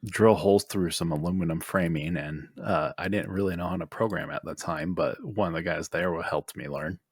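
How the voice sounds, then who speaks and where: A man with a low, husky voice chats casually as a podcast panelist. He sounds close and dry, with no room around him. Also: fairly quick, dry recording.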